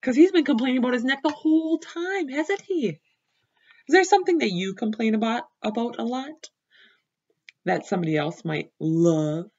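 A young woman teaching first grade, reading a picture book aloud and asking the children gentle questions about words, on a laptop webcam at home. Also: fairly high pitch, expressive, moderate pace, breathy edge, dull audio. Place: room at home, indoors.

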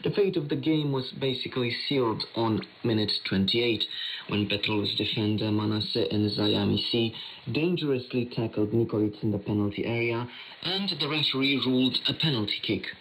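Through clear shortwave static and interference comes a man's mid-pitched voice. He is a radio presenter reading a scripted sports bulletin in a newsreading style. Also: normal speed, fairly bright tone. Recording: noisy shortwave radio reception.

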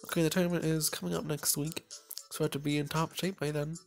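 A man voicing a sweet, shy teenage anime gymnast girl in a soft, earnest manner. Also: a little high, level tone, fast, creaky, faint music behind, pitched above the performer's own voice. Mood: determined.

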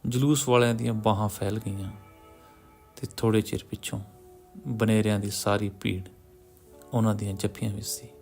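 A man narrates a literary story in a measured, solemn way, pausing between short phrases. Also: fairly low pitch, steady tone, vocal fry, a little mellow. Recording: music behind the voice. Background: background music.

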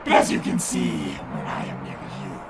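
A woman voicing a vengeful, clingy female ghost in a slow, raspy whisper. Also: loud music behind the voice, close to an everyday voice. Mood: menacing. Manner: slow, drawn-out, eerie.

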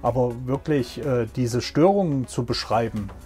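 A middle-aged train driver talks plainly in an interview, now and then pausing with fillers. His voice is dark and mellow at a middle pitch. Soft music sits underneath. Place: locomotive cab.

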